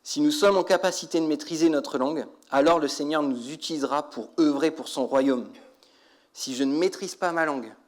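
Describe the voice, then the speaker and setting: A man's voice: fairly high pitch, normal speed. Man preaching an exhorting sermon. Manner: exhorting, rhetorical questions to the congregation. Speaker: church preacher.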